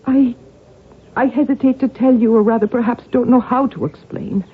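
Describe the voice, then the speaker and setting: An actress playing a devout, earnest young noblewoman, measured and theatrical, narrating and acting in a radio drama. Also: a little high, fairly even intonation, slightly rough, narrow, tinny audio. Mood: earnest, troubled. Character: devout, earnest young Irish noblewoman telling her family's story. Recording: band-limited old radio with music.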